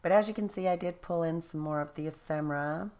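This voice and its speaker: A woman narrating her craft project in a casual voice-over, tired and a little raspy, chatty and self-deprecating. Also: deep voice, fast talker, a little mellow. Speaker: craft video host.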